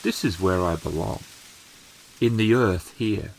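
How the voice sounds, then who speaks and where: A man playing the gentle, plain-spoken ghost of a samurai turned farmer in a tabletop game, speaking slowly and haltingly. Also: fairly low pitch, gravelly, low-quality recording. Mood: quietly content.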